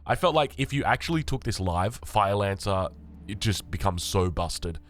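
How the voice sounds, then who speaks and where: Raspy Australian man with a cold. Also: fairly low pitch, animated, moderate pace. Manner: chatty, reads notes aloud with asides. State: sick and just woken up. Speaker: gaming channel host. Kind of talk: casual patch-notes commentary.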